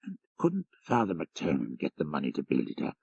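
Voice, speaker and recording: A man as an inquisitive gentleman, lively pitch. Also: moderate pace, vocal fry, slightly rough, dry recording, muffled recording, exaggerated voice. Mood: curious. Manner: inquisitive, polite. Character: educated gentleman visitor, curious, politely probing questioner. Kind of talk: audiobook character reading.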